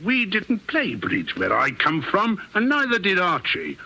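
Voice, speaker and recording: A man's voice: sits high, wildly swooping pitch, measured pace, gravelly, sharp tone, full character voice. An actor playing a coarse, menacing middle-aged man, blunt and jeering, in an old radio drama. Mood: mocking. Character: coarse, menacing, sneering male blackmailer, old crony.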